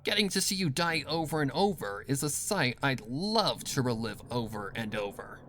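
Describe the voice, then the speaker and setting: A performer voicing a cold, sadistic villainess mare who taunts in a drawn-out, sinister way, for a storybook reading. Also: medium pitch, animated, fairly bright tone, a little hiss or hum, close to an everyday voice. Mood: mocking, gloating.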